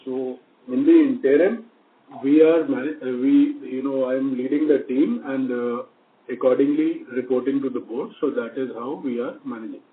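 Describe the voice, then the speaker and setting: A man with an Indian accent, a company executive answering investor questions in a measured, diplomatic, formal way. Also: medium pitch, fairly even intonation. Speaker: company executive leading the team. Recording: echoey speakerphone-like narrow phone line.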